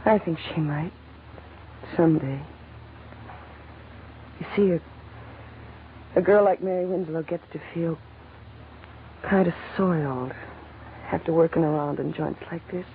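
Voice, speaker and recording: An actress in a 1940s radio drama playing a weary, wistful young nightclub woman who speaks haltingly. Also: low-pitched. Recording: muffled, noisy old radio broadcast recording.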